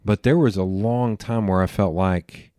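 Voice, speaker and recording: Man, East Tennessee accent, very deep voice, chatty podcast host, dry sound. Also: a little slow, no echo. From Knoxville, Tennessee. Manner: chatty and informal, slips into animated retellings. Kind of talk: casual interview conversation.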